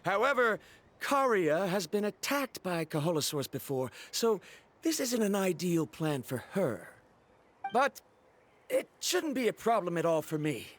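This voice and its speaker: A man's voice: high-pitched, big pitch swings, a little slow. A man voicing an earnest, pedantic young male scholar in a video game, formal and skeptical. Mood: insistent. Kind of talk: animated video game dialogue.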